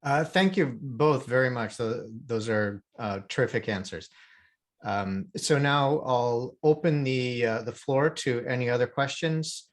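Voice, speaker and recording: A man moderates the panel over a video call, sounding a bit away from his microphone. He talks slowly and hesitantly as he thanks the speakers and opens the floor. His voice sits at a middle pitch. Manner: slow, hesitant.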